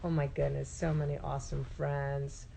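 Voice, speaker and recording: A woman's voice: very deep voice, little pitch movement, normal speed, vocal fry, dark tone, husky. A woman in her forties hosting a casual live-streamed interview from home, bubbly and enthusiastic, with frequent ums, heard through a laptop mic. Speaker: host. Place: room at home, indoors. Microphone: laptop webcam mic on a video call.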